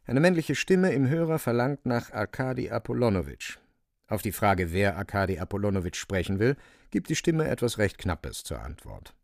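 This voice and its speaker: Deep-ish guy narrating a radio play, theatrical and emphatic, really dry close studio sound. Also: sing-song, normal speed, no room sound.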